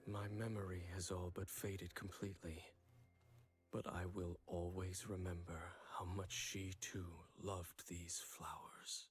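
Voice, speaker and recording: An actor playing a solemn, brooding fantasy warrior in a game trailer monologue, measured and portentous. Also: male voice, low-pitched, flat delivery, breathy edge. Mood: wistful, mournful. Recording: loud music over the voice. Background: loud trailer music.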